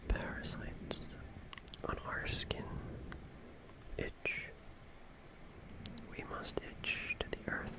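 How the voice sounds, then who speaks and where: A soft, breathy whisper carries this slow ASMR monologue. The speaker muses in short phrases with long pauses between them, reflective and unhurried throughout. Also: rough recording. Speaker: ASMR creator.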